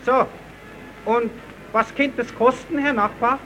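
An actor playing a cheeky, slow-witted slapstick puppet clown, stubborn and mock-naive, in a 1930s German radio comedy. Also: male voice, very high voice, steady tone, pushed and bright, faint music behind, heavily acted, rough recording. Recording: old 1930s radio recording.

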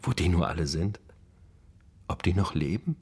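A man playing the reflective narrator of a gangster's memoirs in a radio play, telling it in a measured, literary way. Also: sits low, expressive, a little mellow, breathy edge. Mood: wistful.

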